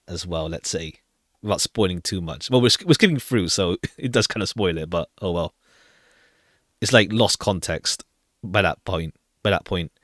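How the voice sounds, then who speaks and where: A man's voice: on the low side, fairly bright tone, no echo, clean studio sound. A man streaming a game with casual commentary, rambling hesitantly and repeating phrases as he goes. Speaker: live streamer. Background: faint jazzy game music.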